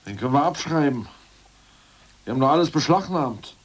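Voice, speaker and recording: An actor playing a hungry, scheming, irritable ex-official in a prison cell in a German radio play, with a volatile delivery. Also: male voice, fairly high pitch, animated, fairly quick. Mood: skeptical. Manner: volatile, swinging from mutters to outbursts.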